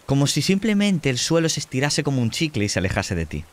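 A man acting as game master, narrating a horror scene in a deliberate, descriptive way with pauses. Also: mid-range voice, sing-song. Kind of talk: improvised tabletop horror narration.

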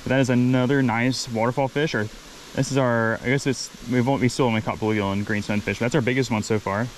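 An enthusiastic man talks through a fishing trip at a rocky waterfall pool, chatty and quick to laugh. His voice sits in a middle range, picked up by a camera he wears, with faint outdoor noise around him. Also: medium pitch, fairly even intonation, low-quality recording. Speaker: fishing channel host. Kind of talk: excited outdoor vlog commentary. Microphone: head-mounted camera mic.

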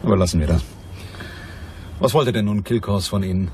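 Man acting a low-voiced, sardonic hard-boiled detective. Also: a little fast, a little mellow, a little hiss or hum, close to an everyday voice. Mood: skeptical, curt. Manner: dry, curt, mocking. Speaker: actor playing the detective and narrator. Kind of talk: German crime radio drama acting and narration.

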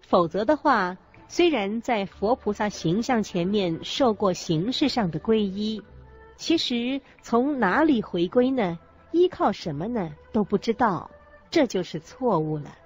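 Lady narrating a buddhist teaching program, slow and explanatory, bit muffled, music behind. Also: medium pitch, expressive, darkish tone, breathy edge. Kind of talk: scripted didactic narration in a radio program. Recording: muffled lo-fi audio with music underneath. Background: background music.

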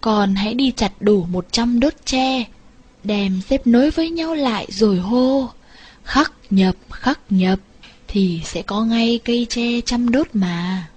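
Woman acting a kindly Buddha spirit, storybook fairy tale, slow, reassuring, instructing. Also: on the high side, darkish tone, slightly rough. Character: gentle, reassuring magical Buddha spirit who helps the poor.

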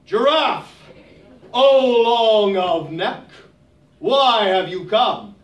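A woman voicing a big, frightening male sky ruler in a folk tale, speaking slowly and grandly. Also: mid-range voice, animated, dull audio. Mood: solemn. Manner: slow, grand, solemn. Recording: roomy, distant.

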